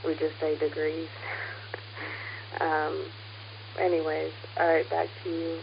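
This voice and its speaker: A woman's voice: sits low, completely flat delivery, slow pace, vocal fry. A woman with an American accent chatting casually over amateur radio, rambling hesitantly as she thinks aloud. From United States. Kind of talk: casual radio contact chat. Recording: muffled, hissy ham radio transmission. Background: radio hiss.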